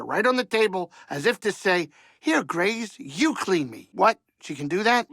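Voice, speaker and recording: An actor playing a fretful, chatty alien starship pilot and cook in a video game, a middle-aged grumbler with a kindly streak and a gravelly voice. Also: male voice, high-pitched, fairly bright tone, dry recording, full character voice. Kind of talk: video game character acting.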